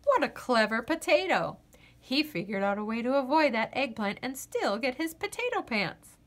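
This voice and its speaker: A woman reading a children's picture book aloud in an expressive, playful way. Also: high voice, normal speed, slight background noise. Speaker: storybook reader.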